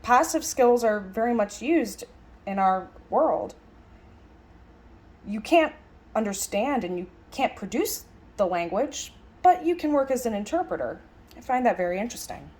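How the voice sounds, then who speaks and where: Expressive woman voicing a reflective lecture. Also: a little high, a little slow. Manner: musing, emphatic phrasing with rhetorical questions. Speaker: sign language interpreter voicing a signed lecture. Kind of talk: interpreted lecture, conversational and reflective.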